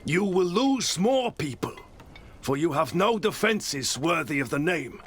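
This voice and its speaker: A man's voice: a little high, big pitch swings, measured pace, creaky, a bit bright, full character voice. A man playing a bold, blunt Norse warrior jarl in a video game, speaking with command over a noisy backdrop. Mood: scornful. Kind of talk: dramatic game acting.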